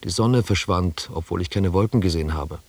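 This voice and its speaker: Low-voiced, sober expedition narrator, dry sound. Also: male voice, darkish tone, breathy edge, no echo. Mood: sober, descriptive. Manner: measured, descriptive. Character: sober expedition member recounting the journey. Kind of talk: scripted literary narration in German radio drama.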